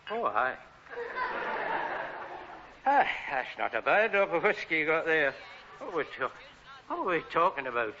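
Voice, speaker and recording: A blunt Scottish vet who likes his whisky, with a high, lively voice that moves all over. It is sitcom acting on muffled sound. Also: moderate pace, pauses often, some chatter behind. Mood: dry, eager.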